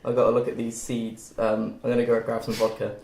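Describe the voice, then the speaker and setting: Fast-talking brash young student lad, acted by a man. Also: medium pitch, monotone, gravelly, darkish tone, close to an everyday voice. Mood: brash banter. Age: young adult. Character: brash, foul-mouthed, teasing male student. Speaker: student cast member at a table read. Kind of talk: script read-through. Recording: roomy, faint noise.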